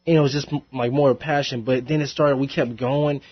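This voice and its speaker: A man's voice: medium pitch, steady tone, brisk, no echo. Young rapper guy chatting on college radio, laughs a lot, dry studio, kinda dull sound. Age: young adult. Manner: laid-back, laughs often, rambling with fillers. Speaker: rapper guest. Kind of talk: casual interview chat.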